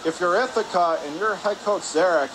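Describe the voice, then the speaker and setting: A man's voice: sits high, normal speed. A man calling live hockey play-by-play for radio from an indoor rink. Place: spectator area of an indoor ice rink. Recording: noisy arena hum behind the voice.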